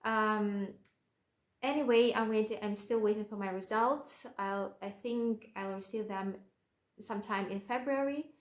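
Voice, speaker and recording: A woman's voice: medium pitch, fairly even intonation, measured pace, reverberant. A young woman from Russia with a Russian accent, a vlogger chatting casually to the camera and joking at her own expense, with many fillers.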